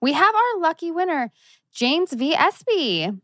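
A woman's voice: very high voice, very animated, measured pace, fairly bright tone. A woman co-hosting a charity table read, giving announcements. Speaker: co-host of a charity event.

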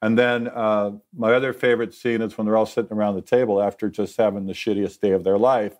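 A man's voice: fairly low pitch, steady tone, fast talker, a little mellow. A man in his sixties, a TV director and guest, telling stories in a rambling, candid, self-correcting way. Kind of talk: candid conversational storytelling.

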